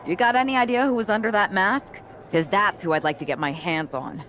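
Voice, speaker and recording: A woman's voice: mid-range voice, a little fast, a bit bright, lightly acted. A woman plays a hard-edged female fighter in a video game story. She talks with dry sarcasm and simmering anger, over faint background noise. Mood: irritated. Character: tough angry female wrestling rookie, former MMA fighter, sardonic. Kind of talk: video game cutscene acting.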